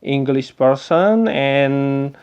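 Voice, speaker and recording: A man from Indonesia speaking English as a second language, commenting on a game walkthrough with many pauses while searching for words. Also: medium pitch, lively intonation, a little mellow, clear, resonant tone, highs cut off. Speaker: gameplay youtuber.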